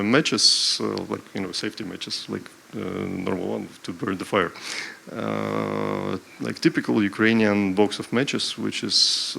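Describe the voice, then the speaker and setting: A man with a very gravelly, creaky voice speaks on a panel at an art event, pitched a little low. He talks in a halting way, stopping and restarting often with many fillers. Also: a bit bright, slight background noise. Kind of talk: hesitant panel talk.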